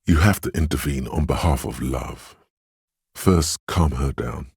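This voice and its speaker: A man voicing the inner voice of empathy in a detective's mind, narrating a game in a measured, intimate, knowing way with a gravelly, husky voice. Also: very deep voice, a little mellow, dry recording, studio quality, heavily acted. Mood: sly, urging. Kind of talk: scripted second-person game narration.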